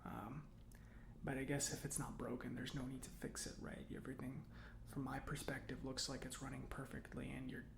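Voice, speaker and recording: A man playing an eccentric, kindly mechanic in a soft-spoken ASMR roleplay, with halting, reassuring murmurs and a breathy voice. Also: medium pitch, level tone, reverberant, background noise, lightly acted. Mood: musing, approving. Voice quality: breathy whispering, creaky. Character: eccentric, giddy, kindly male government robot mechanic.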